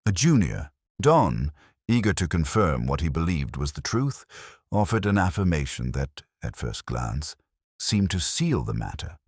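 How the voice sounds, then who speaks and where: A man reading a scripted, literary first-person detective narration in a measured, contemplative and formal manner. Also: extremely low pitch, sing-song, husky, no room sound, clean studio sound.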